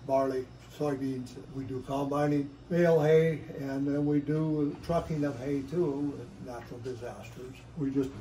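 An older man explains the work in a plain, practical way, his voice mellow and dark in tone. He is heard from a few feet off in an echoey indoor lobby, with faint noise behind him. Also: medium pitch, far from the mic. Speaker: nonprofit farm aid staff. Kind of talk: interview answers. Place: indoor lobby with stone fireplace. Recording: echoey room from off the mic.

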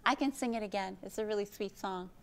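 A woman in her fifties hosting a children's storytime, speaking gently and expressively in simple phrases, with questions for young listeners. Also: mid-range voice, fast, clean tone. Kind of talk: read-aloud storytime for young children, with chat and song. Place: indoor library program room.

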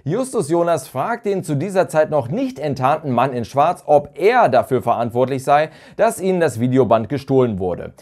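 Man, thirties, lively melodic delivery, fairly high voice, scripted review host. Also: moderate pace, hardly any pauses. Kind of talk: scripted review commentary to camera. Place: small furnished room indoors. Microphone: lapel mic.

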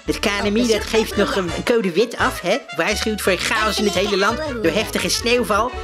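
A man playing an excitable, easily flustered male podcast host in a comedy show, giggling, exclaiming and rambling hesitantly. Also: high-pitched, normal speed, over loud music, natural voice. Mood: exasperated. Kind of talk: comic mock podcast presenting.

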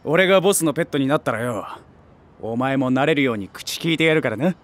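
An actor playing an ambitious, streetwise stray tomcat, a small-time gang big brother with brash, rough talk in a voice drama. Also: male voice, mid-range voice, animated, quick pace, lightly acted. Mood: cocky, scheming. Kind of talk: Japanese voice drama acting.

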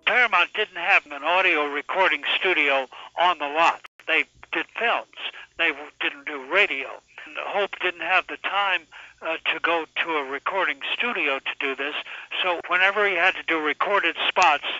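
Older male American interviewee, very sharp voice, casual storytelling, slightly dull recording. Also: fairly high pitch, moderate pace, dry recording. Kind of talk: casual recollection.

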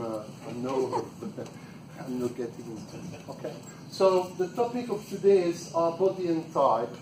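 A man's voice: fairly high pitch, noisy background. A middle-aged man with a French accent, a native French speaker, giving a chatty, self-deprecating conference presentation with small laughs. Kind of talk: plenary presentation. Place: meeting room. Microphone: far camera. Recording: echoey room from a distant camera.

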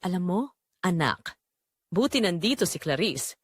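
A woman voicing a kindly, trusting middle-aged father in an audio drama, talking gently and appreciatively to his family. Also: fairly low pitch, sing-song, moderate pace, husky, no echo, clean studio sound. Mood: warm, sincere.

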